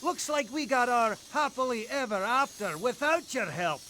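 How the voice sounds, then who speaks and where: A man's voice: extremely high pitch, animated, normal speed, pushed and bright, a little hiss or hum, heavily acted. A man playing a confident, good-hearted fairy-tale hero in a game, earnest and theatrical. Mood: triumphant.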